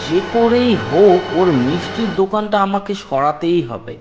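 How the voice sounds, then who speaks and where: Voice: mid-range voice, lively intonation, moderate pace, muffled recording, lightly acted. An actor voicing a jealous, scheming male village shopkeeper in a Bengali moral-story cartoon, indignant and challenging. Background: music.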